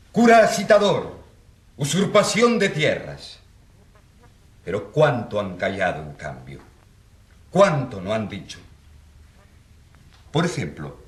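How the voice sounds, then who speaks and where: An actor playing an earnest country priest in his thirties, pleading with rhetorical force in a radio drama. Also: medium pitch. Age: 30s. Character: impassioned rural priest of 34, defending poor peasants. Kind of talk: radio drama courtroom oratory. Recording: roomy echo.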